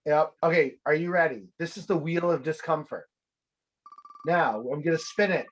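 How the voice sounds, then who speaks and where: A man's voice: fairly high pitch, normal speed, poor audio. Playful man hosting over a video call. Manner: playful, teasing, rambling with restarts. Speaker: host. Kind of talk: casual video-call game show hosting.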